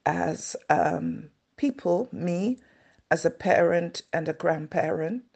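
An older woman, a grandmother, speaking slowly and earnestly as an interview guest. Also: lowish voice, vocal fry, a little mellow. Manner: slow, measured, earnest. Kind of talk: reflective interview answer.

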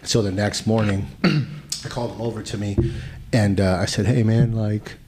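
A man's voice: fairly low pitch, steady tone, normal speed, darkish tone, slight background noise. A man in his forties talking candidly and casually in slangy, confessional podcast conversation. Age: 40s.

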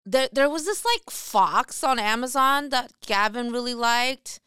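A woman in her forties co-hosting a casual podcast chat, candid and quick to laugh, with casual swearing. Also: on the high side, animated, pushed and bright, clean tone, no room sound, clean studio sound. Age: 40s. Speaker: podcast co-host.

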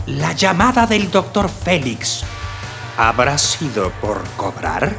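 A man playing a measured, mock-suspenseful cliffhanger announcer in a scripted radio serial. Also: a little high, big pitch swings, vocal fry, bright, forceful tone, faint music behind, heavily acted.

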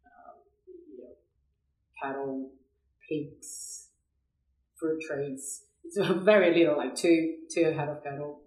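A woman's voice: low voice, slow pace, long pauses, darkish tone. A woman from Brazil with a Brazilian accent, answering interview questions conversationally, often repeating words as she searches for phrasing. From São Paulo, Brazil. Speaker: podcast guest, agribusiness professional. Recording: roomy, echoey sound.

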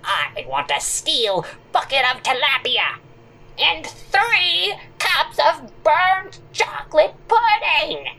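A man's voice: extremely high pitch, sing-song, slow pace, vocal fry, bright, forceful tone, a little hiss or hum, voice pushed up, heavily acted, rough recording. A man voicing a cranky, decrepit old woman in an audio drama, complaining venomously with grandiose insults. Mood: demanding. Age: older. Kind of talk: audio drama character acting.

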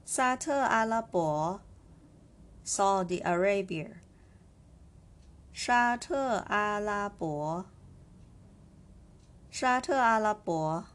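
A woman teaching a language lesson, slowly repeating each word for listeners to practice. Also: medium pitch, expressive, slight background noise. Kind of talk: slow lesson with drills.

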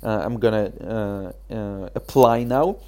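A man with a hoarse, achy throat, hosting a casual solo podcast, talking haltingly with many fillers. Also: on the low side, darkish tone. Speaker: podcast host.

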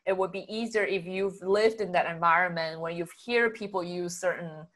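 A woman from Singapore chatting casually in an agreeable, measured way. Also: fairly low pitch, a bit bright. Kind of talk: casual chat.

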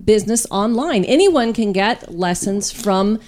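A woman's voice: mid-range voice, animated, unhurried, a little mellow. Woman chatting casually on a podcast.

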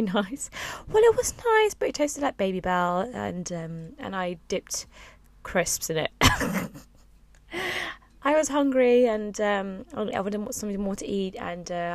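A young woman hosting a casual solo podcast, rambling and chatty with sighs and filler words, her voice lower and a little husky after a late night. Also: no echo. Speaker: podcast host. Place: on a boat, small space.

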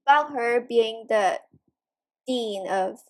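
Slow-talking young woman, high voice. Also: darkish tone. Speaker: podcast host. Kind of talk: casual chat.

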